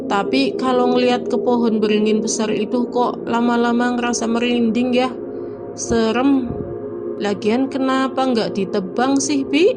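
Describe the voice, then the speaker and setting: Woman as young mother, casual and talkative, bright-ish pitch. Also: fairly even intonation, a little slow, natural voice. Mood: chatty. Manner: casual and chatty. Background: music.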